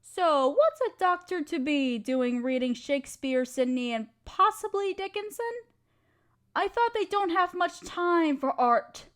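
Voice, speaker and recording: A woman playing an affectionate, gently teasing wife who shares love poems with a partner in an intimate roleplay audio. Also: high voice, lively intonation, measured pace. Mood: playful teasing.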